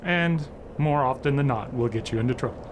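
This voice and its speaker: An actor playing the wry, ominous host of an old horror radio series, delivering scripted narration. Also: male voice, medium pitch, brisk, lightly acted. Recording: noisy old radio drama recording.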